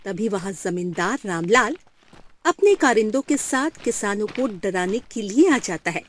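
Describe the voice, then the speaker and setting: A woman's voice: medium pitch, lively intonation, a little slow. A woman narrating a scripted moral story for a cartoon. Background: music.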